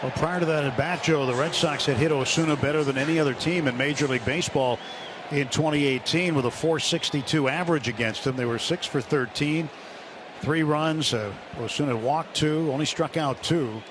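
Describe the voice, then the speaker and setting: A man giving live baseball commentary and statistics on the radio in a measured, explanatory way. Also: a little high. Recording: clear stadium background noise.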